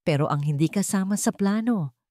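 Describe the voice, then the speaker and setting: A woman's voice: mid-range voice, a little fast, dark tone, no room sound, studio quality, lightly acted. A woman storyteller voicing a grave, wounded young husband who is a wealthy CEO in a dramatic story. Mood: bitter, tender.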